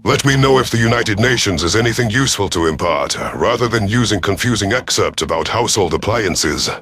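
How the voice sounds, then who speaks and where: A man reading a first-person science fiction story as a stern alien commander, with formal, measured wording. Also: fairly low pitch, expressive, a bit bright, faint music behind. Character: stern alien reptilian military commander narrating. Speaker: audiobook narrator of science fiction.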